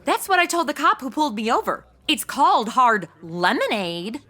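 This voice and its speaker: An actress playing a breezy, tactless young podcaster with flippant modern slang in a comedy audio drama. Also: female voice, sits high, big pitch swings, moderate pace, a bit bright, full character voice.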